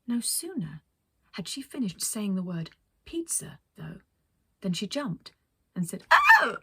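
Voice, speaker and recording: A woman reading a children's story as a storyteller, expressive, with dramatic pauses. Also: mid-range voice, slightly rough, dry recording. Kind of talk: scripted children's story narration.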